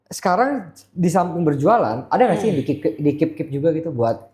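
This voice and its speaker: A man's voice: fairly high pitch, sing-song, brisk, a little mellow. Chummy Jakarta man hosting a podcast. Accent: Indonesian, Jakarta. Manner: chummy, slangy, mixes in English words. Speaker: podcast host. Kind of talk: casual podcast chat.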